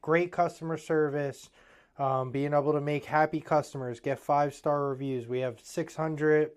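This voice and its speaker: A man in his thirties with an Eastern Pennsylvania accent talks shop about his plumbing business. He is blunt and motivational and uses plenty of casual fillers. His voice is smooth and clean, and sits a bit high for a man. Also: steady tone, normal speed. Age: 30s.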